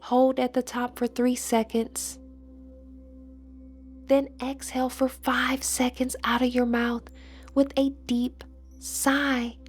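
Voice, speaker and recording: A woman leading a guided meditation and affirmations in a soft, breathy, soothing voice with measured, deliberate phrasing. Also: sits high, level tone. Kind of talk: slow guided meditation narration with spoken affirmations. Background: background music.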